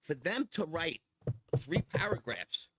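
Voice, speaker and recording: A man's voice: fairly high pitch, very animated, measured pace, fairly bright tone, slight background noise. Blunt, swearing man in his sixties. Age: 60s. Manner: blunt, streetwise, swears freely; defiant, preachy toward critics. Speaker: custom chopper owner and builder. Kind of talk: casual interview chat.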